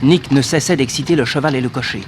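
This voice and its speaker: An actor playing the dramatic, suspenseful narrator of a 1960s French radio serial, on a noisy old recording. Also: male voice, mid-range voice, fast, natural voice.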